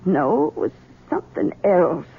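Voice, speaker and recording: A woman's voice: on the low side, very animated, measured pace, gravelly, breathy edge, full character voice. An actress playing a bitter, elderly mother-in-law, sardonic and weary, in a radio drama. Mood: uneasy, pondering. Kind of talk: 1970s radio drama acting. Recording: muffled lo-fi old radio broadcast.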